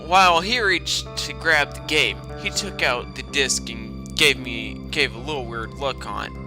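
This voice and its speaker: A man's voice: mid-range voice, unhurried, over music. A man doing a sarcastic, foul-mouthed dramatic reading with exasperated commentary, his throat affected by a lingering cough. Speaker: host of a storytime reading series.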